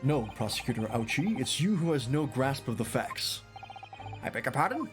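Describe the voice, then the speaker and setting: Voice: mid-range voice, sing-song, normal speed, music in the background, natural voice. A streamer voicing an earnest young rookie defense lawyer in an everyday voice. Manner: earnest and flustered. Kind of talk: reading game dialogue aloud.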